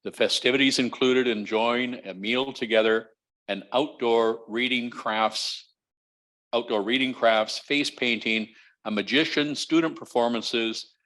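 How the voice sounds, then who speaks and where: A man's voice: on the low side, steady tone, a little slow, fairly bright tone. Man carefully reading school news. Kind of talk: scripted news roundup reading.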